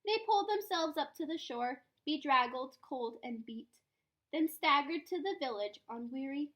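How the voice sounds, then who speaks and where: A woman reading a rhyming children's picture book aloud in an expressive storytelling style. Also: high-pitched, moderate pace.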